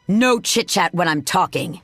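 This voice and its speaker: A woman's voice: on the low side, expressive, measured pace, a bit bright, dry recording, soft background music, exaggerated voice. Strict, commanding female combat coach. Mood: stern. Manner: stern, commanding, clipped. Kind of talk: English video game voice acting.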